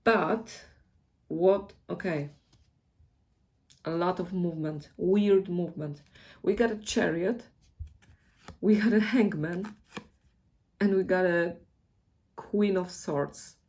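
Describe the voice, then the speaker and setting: A woman's voice: lowish voice, slow, pauses often, darkish tone. A woman with a Polish accent, a native Polish speaker, giving a casual tarot reading in a hesitant way and often searching for words. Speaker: tarot reader.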